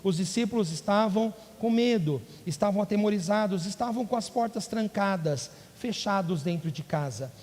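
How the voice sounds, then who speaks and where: A man's voice: high voice, lively intonation, moderate pace, few pauses, darkish tone. A male pastor preaching a sermon, rising into emphatic bursts and asking the congregation questions. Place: church. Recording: church hall with long reverb.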